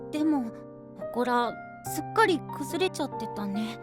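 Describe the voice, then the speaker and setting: 明るく可愛らしい少年を演じる男性声優。甘く弾むような少年らしい話し方。. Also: male voice, very high voice, animated, unhurried, music in the background. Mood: playful. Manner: bubbly, sweet, boyish. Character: cute cheerful young boy, playful companion. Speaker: voice actor. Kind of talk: anime voice drama.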